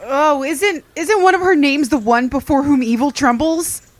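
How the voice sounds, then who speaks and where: A woman's voice: high-pitched, moderate pace, no room sound, natural voice. A woman playing a blunt, wary young friend with a wry, skeptical way of talking in an audio drama. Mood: concerned. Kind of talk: acted audio drama dialogue.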